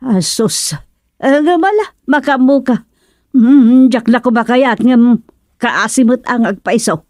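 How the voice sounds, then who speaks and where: A woman's voice: on the high side, measured pace, a little mellow, dry recording, clean studio sound, close to an everyday voice. A woman playing a fussing, inquisitive village wife in a radio drama, questioning and exclaiming. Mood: concerned.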